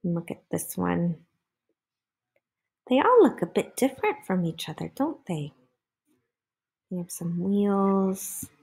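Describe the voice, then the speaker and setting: Lively, husky woman reading to children. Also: medium pitch, slow, pauses often. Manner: animated, asks young listeners questions. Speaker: storyteller reading a picture book aloud. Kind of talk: expressive children's read-aloud with chatty asides.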